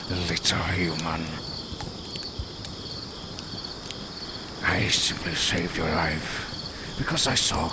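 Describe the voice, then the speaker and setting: A man's voice: deep voice, noisy background. A man voicing a haughty, sardonic lone werewolf in an audio roleplay, speaking to the listener in formal, condescending, theatrical phrases. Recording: night forest ambience with crickets, clearly audible. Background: outdoor forest sounds, crickets.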